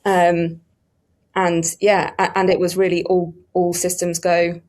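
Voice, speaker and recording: A woman gives a conversational interview answer in a flat, even tone. She speaks haltingly and checks herself as she goes. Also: lowish voice. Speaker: production team member interviewed as a guest.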